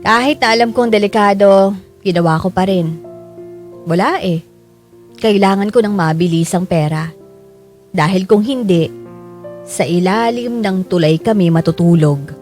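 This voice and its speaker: A woman's voice: medium pitch, unhurried, long pauses, close to an everyday voice. A Filipino woman narrating as a desperate, devoted father, earnest and confessional, in a scripted drama. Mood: regretful, resolute. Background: soft music.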